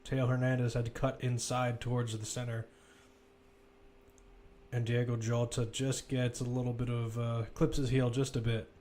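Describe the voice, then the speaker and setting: A man's voice: mid-range voice, level tone, normal speed, darkish tone, slight background noise. A man giving live football play-by-play commentary, describing the action as it unfolds in short, clipped phrases. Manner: running present-tense description in clipped phrases.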